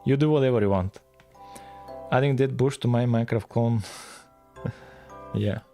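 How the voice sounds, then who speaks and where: A man's voice: medium pitch, moderate pace, dark tone, faint music behind. A man from Bulgaria with a Bulgarian accent, chatting casually and thinking aloud as he livestreams his coding. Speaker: live streamer, game developer.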